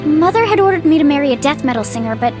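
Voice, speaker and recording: A woman's voice: high voice, expressive, very fast. An actress playing a timid, flustered young woman in an anime game, speaking with hesitant stammers. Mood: sheepish. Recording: music behind the voice.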